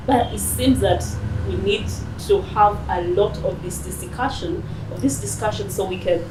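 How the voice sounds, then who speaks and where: A woman hosting a talk show, asking questions in a chatty, halting way. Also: mid-range voice. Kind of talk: casual interview hosting. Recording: echoey, distant mic, noisy.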